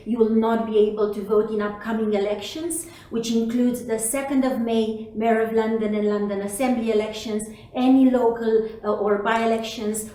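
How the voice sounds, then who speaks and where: A woman's voice: on the high side, darkish tone, very echoey, recorded from a distance, poor audio. A woman from Romania, a city official, answering interview questions in an earnest, campaigning way.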